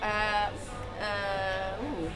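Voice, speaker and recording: A woman from Italy talks casually as a musician being interviewed. Her pitch sits in the middle for a woman, and music plays clearly behind her. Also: level tone, drawn-out words. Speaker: band singer and lyricist being interviewed. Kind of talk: casual chat.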